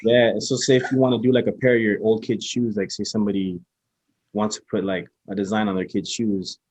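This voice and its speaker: A low-pitched man with a husky, slightly rough voice talks in a relaxed, meandering way, often stopping to restart his sentences. He sounds like an easygoing guest in a casual interview. The recording is close and dry, with little room sound. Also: no echo. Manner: halting, laid-back, full of restarts and fillers. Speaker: interview guest, small business owner.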